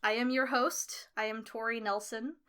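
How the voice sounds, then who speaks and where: Young female podcast host with a somewhat high pitch, easygoing delivery. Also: normal speed, clean tone. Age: 20s. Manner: relaxed, laughs often, filler words in chat. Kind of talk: scripted narration and casual chat.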